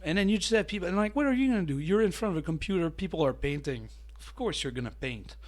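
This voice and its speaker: A man hosting a miniature painting channel, chatting casually with a mellow voice, full of fillers and restarts. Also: sits high, lively intonation, fast talker. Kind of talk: casual talking-head hobby tips.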